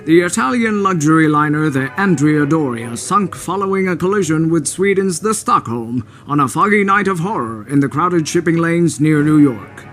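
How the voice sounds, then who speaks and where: A man's voice: a little high, expressive, moderate pace, hardly any pauses, fairly bright tone, faint music behind. A man playing a 1950s newsreel announcer in a radio drama, with grave, declamatory delivery. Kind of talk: newsreel narration.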